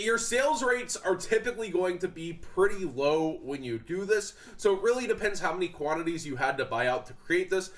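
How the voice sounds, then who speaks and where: A man's voice: high-pitched, a bit bright. A young man with a stuffy, congested voice, talking casually about card prices with fillers and restarts. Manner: chatty, frequent fillers and restarts. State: congested, sniffling. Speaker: card market commentator. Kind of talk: casual commentary.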